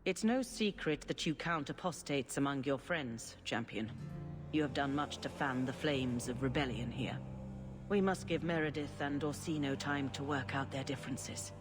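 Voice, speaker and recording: Grave elderly priestess in a reverberant hall. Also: female voice, extremely low pitch. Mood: solemn. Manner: measured, solemn, formal. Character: elderly, gentle, grave female head cleric. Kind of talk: dramatic game dialogue. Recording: long church-like reverb, music behind.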